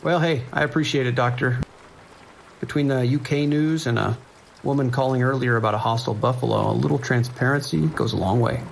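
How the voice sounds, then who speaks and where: Man acting calm deputy with gravelly voice. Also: medium pitch, a little hiss or hum, lightly acted, rough recording. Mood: calm, businesslike. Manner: casual, unhurried, reassuring. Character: calm, kindly male sheriff's deputy dispatcher on night shift. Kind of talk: naturalistic audio drama acting.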